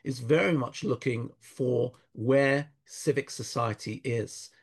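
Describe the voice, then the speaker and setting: Gravelly man, halting and thoughtful. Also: medium pitch. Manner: thoughtful, halting, many restarts, quotes Hebrew verses. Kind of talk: conversational interview.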